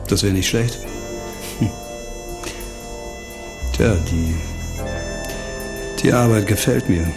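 Male for weary radio-play investigator; creaky voice, clipped questioning manner, score behind. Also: fairly low pitch, takes it slowly. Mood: tired, reserved. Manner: terse, questioning. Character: weary, probing male investigator in a noir crime story. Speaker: actor in a radio crime drama. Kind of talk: German radio play acting. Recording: music under the voice.

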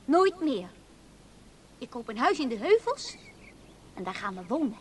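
High-voiced dreamy, stubborn woman. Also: sing-song, a little slow. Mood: wistful. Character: dreamy, naive, stubborn woman grieving her gentle lover. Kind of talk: Dutch radio play acting. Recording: noisy old radio drama recording.

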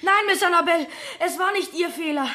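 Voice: extremely high pitch, brisk, bright, forceful tone. An actor playing a frightened, defiant young boy in a crime radio play, pleading and insistent, on a noisy 1970s recording. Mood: tearful, protesting.

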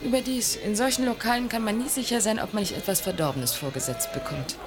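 A woman's voice: mid-range voice, quick pace, music in the background, lightly acted. An actress playing a proud, wary young businesswoman in a German radio play, cool and pointed in her delivery. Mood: wry. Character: proud, wary young businesswoman seeking independence from rich father.

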